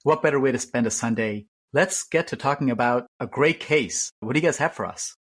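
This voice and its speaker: Energetic, welcoming male podcast host. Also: mid-range voice, a little fast.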